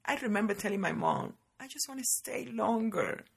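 A woman, hosting a podcast and telling her life story in a chatty, lively way, often calling her listeners guys. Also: fairly high pitch, normal speed, vocal fry, husky. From Cuba. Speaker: podcast host. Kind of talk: casual personal storytelling.

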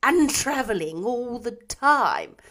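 A woman voicing a frightened old miser who pleads in hushed, half-whispered words in a storybook reading. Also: on the high side, sing-song, slow, full character voice. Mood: fearful pleading.

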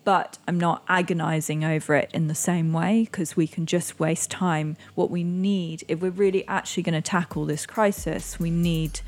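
A young woman who grew up in Indonesia, answering interview questions in a reflective way with many pauses and soft laughs. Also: lowish voice, fairly even intonation. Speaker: interviewee, climate activist. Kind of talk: conversational interview.

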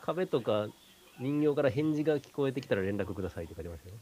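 A man's voice: mid-range voice, animated, quick pace, dark tone. A man talking casually among colleagues. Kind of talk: casual chat.

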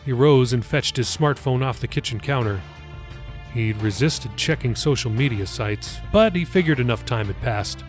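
A man's voice: medium pitch, expressive, normal speed, faint music behind. A man reading his own novel as scripted audiobook narration for a podcast.

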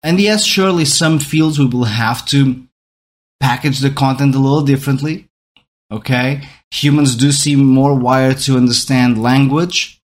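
A man's voice: mid-range voice, fairly even intonation, measured pace. A man whose first language is Portuguese gives a reflective talk about how people learn. He thinks aloud as he goes, restarting phrases and checking in with rhetorical questions.

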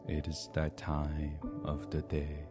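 A man leading a gentle guided sleep meditation in a soothing, hushed, breathy voice that drifts toward whispering. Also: rumbling low, monotone, takes it slowly, music in the background.

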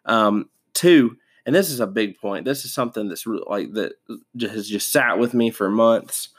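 A man's voice: on the low side, normal speed. A man hosting a devotional podcast, stuffed up from a sinus infection, talking casually with many fillers and restarts. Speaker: podcast host.

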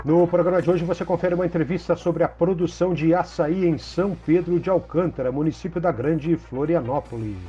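A man with a Brazilian Portuguese accent, presenting a farm radio program in a steady, measured scripted read. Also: on the high side, slightly rough. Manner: steady, measured reading of announcements. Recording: dull lo-fi radio sound with faint music.

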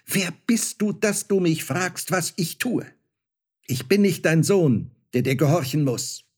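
A man's voice: mid-range voice, animated, unhurried, a little mellow, husky. A man voicing a proud, cruel young boy who mocks others in a fairy tale. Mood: scornful. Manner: taunting, contemptuous.

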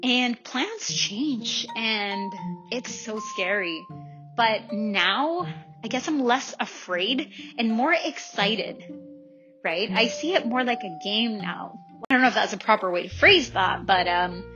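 A woman's voice: fairly high pitch, expressive, slow, dull audio. A young woman from El Salvador talking casually and reflectively to her listeners, informal and self-questioning, often checking in as she goes. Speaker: vlogger. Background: music.

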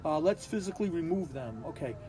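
Podcast co-host, New man, high pitch, profane and blunt, does voices when he retells stories. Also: expressive, fairly quick, warm tone, a little hiss or hum. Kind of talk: casual chat, reading scorecard notes aloud.

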